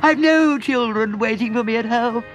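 A man voicing a boastful male toad who fakes being a weepy washerwoman, wailing in a sobbing falsetto. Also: moderate pace, fairly bright tone, faint music behind. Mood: desperate begging.

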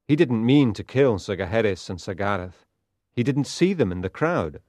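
A sober, plain-speaking knight from a storybook tale, acted out by a man. His low voice is grave and measured, recorded dry and close. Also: big pitch swings, darkish tone, no echo. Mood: explaining. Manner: grave, measured, matter-of-fact. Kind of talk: dramatised storybook acting.

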